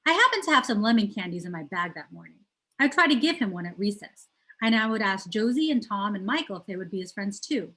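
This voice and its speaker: A woman's voice: medium pitch, big pitch swings, fast, sharp tone, low-quality recording. A woman reads a children's novel aloud as a storyteller, putting emphasis on key words. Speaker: teacher reading aloud.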